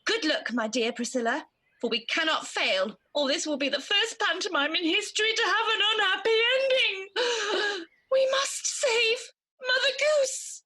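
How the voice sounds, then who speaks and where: A woman's voice: very high-pitched, unhurried, sharp tone, exaggerated voice. A woman playing an earnest, protective good fairy, speaking theatrically in a radio pantomime. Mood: urgent, dramatic.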